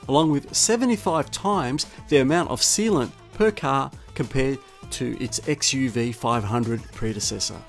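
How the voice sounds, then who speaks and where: Aussie guy doing a scripted car review voiceover, really animated, bit of music under it. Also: medium pitch. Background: music bed.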